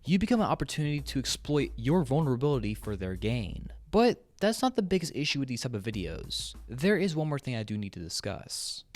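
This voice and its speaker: A man's voice: medium pitch, sing-song, brisk, hardly any pauses, darkish tone, slight background noise. A man delivering opinionated scripted commentary as a video host, bluntly, with casual slang and swearing.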